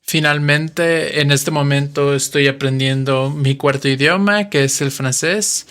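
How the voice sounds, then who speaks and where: A man's voice: on the high side, fairly even intonation, unhurried, a bit bright, clear, resonant tone. A man in his twenties from western Mexico with a Mexican Spanish accent, hosting a podcast and patiently explaining things with examples. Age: 20s. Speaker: podcast host, Spanish teacher. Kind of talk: slow explanatory podcast monologue.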